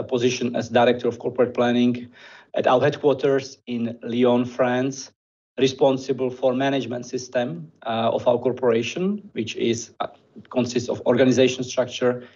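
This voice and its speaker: A man with a Czech accent speaking in English at a steady, mid-pitched level. He presents formally and pauses often with small hesitations. The video-call audio sounds dull and slightly muffled. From Czech Republic. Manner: measured, with frequent hesitations. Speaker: conference presenter.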